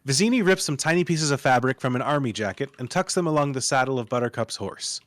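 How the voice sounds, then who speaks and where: Guy reading script narration, normal pitch, really dry mic. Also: animated, fast talker, no echo. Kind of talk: reading screenplay stage directions aloud.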